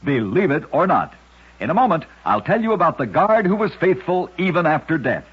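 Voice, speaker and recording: A man hosting an old-time radio program, narrating scripted strange tales in a dramatic, deliberate storyteller's delivery. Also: a little high, very animated, husky. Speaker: radio host and narrator of oddities. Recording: dull lo-fi vintage radio recording.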